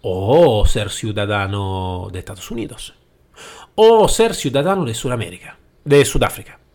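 A man's voice: mid-range voice, husky. Italian guy talking to his listeners, voice swings up and down, keeps correcting himself. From Italy. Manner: lively and emphatic, thinking aloud with self-corrections. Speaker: podcast host. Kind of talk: solo talk to listeners.

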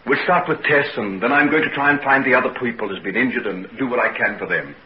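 An actor playing a kindly, earnest doctor with a gentle, reassuring manner, in 1940s radio drama style. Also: male voice, medium pitch, quick pace, lightly acted. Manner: gentle, reassuring, solemnly determined. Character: earnest, kindly male doctor soothing a child patient. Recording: muffled, noisy 1940s radio recording.

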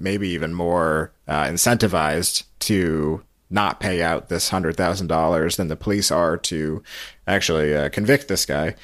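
A man with a low voice hosts a recap podcast. He talks through the story conversationally, recorded close and dry. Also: fairly bright tone, no room sound. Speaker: podcast host. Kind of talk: conversational recap of a radio show.

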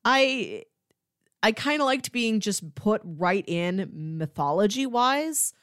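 A woman's voice: mid-range voice, sing-song, takes it slowly, fairly bright tone, clear, resonant tone, no echo, studio quality. A woman co-hosting a podcast in an informal, conversational style. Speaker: podcast co-host. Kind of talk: casual chat.

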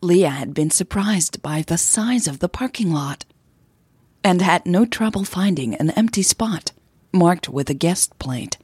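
Slow, husky, breathy woman storyteller. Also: on the low side, lively intonation, a little mellow, no echo. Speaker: podcast host and narrator. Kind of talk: audio drama storytelling with informal podcast intro.

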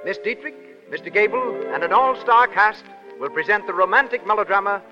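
A man's voice: high-pitched, a little fast. An American man announcing a 1930s radio show in a formal, proclamatory style. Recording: muffled vintage 1930s radio, loud music.

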